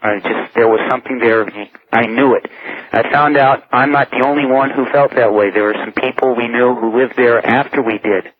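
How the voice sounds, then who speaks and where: A man's voice: medium pitch, steady tone, moderate pace, slight background noise. An American man calling a radio show by phone to tell a personal ghost story. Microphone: telephone. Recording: dull lo-fi phone call to radio.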